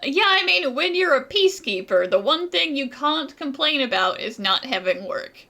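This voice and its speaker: A woman's voice: sits high, big pitch swings, moderate pace, sharp tone, a little hiss or hum, full character voice. A woman voicing a bubbly, playful, gossipy young woman in an anime game, talking in casual slang. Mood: breezy. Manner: casual slangy talk, giggly.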